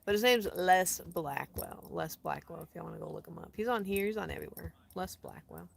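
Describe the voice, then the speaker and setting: A chatty woman with a slightly low voice and no particular accent, talking into her phone outdoors in the desert. She rambles easily, laughs a lot and slips into quiet asides. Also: a little fast, gravelly. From Colorado. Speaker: van-dwelling traveler streaming live. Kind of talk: casual live stream chat.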